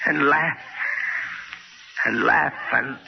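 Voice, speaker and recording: A vengeful, deranged poisoner in an old radio thriller. His fairly high voice has a gritty creak as he taunts and gloats theatrically. The recording is a muffled vintage broadcast. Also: drawn-out words, heavily acted. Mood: gloating. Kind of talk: 1940s radio thriller acting.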